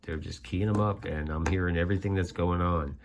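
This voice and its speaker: Man, low voice, informal host explaining things conversationally, folksy asides. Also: fairly even intonation, fairly quick, vocal fry, slight background noise. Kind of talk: casual explainer.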